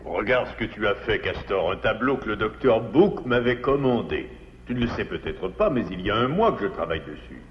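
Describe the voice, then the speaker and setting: A man's voice: on the low side, sing-song, brisk. A man voicing a stern, reproachful beaver father in a children's cartoon, grave and scolding. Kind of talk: dubbed children's cartoon acting. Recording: reverberant, muffled, noisy cartoon soundtrack.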